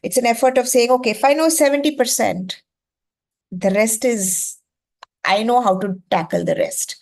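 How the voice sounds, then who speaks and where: A woman's voice: a little high, fairly even intonation, normal speed. A woman from India with an Indian accent and Gujarati as her native language, giving an explanatory masterclass talk as an educator, emphatically repeating phrases and checking in with short questions. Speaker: educator leading a masterclass on reading.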